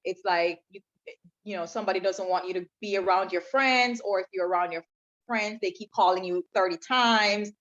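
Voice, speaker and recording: A woman's voice: medium pitch, normal speed, fairly bright tone. A woman from Jamaica, a podcast guest telling her own story in an earnest, reflective way. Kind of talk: personal testimony in interview. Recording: dull lo-fi sound.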